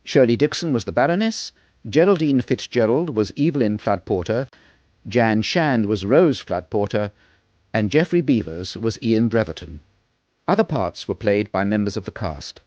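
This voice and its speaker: Male British radio announcer, formal and measured, expressive intonation, dry close sound on a slightly muffled recording. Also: lowish voice, no room sound. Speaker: closing announcer. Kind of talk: scripted radio credit announcement. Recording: dull, band-limited radio recording.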